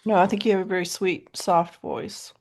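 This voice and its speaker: An American woman with a low voice co-hosting a podcast, chatting casually and often laughing. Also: fairly even intonation, moderate pace, darkish tone. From United States. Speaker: podcast co-host. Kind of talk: casual chat.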